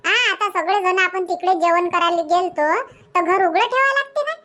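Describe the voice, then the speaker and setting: An excitable young cartoon village wife with a piercingly high, childlike voice. Her tone is bright and sharp, and she nags and complains in a Varhadi Marathi accent. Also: brisk, heavily acted. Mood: indignant, nagging. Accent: Varhadi Marathi from Vidarbha. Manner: nagging, grumbling, exclamatory. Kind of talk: Marathi cartoon comedy dubbing.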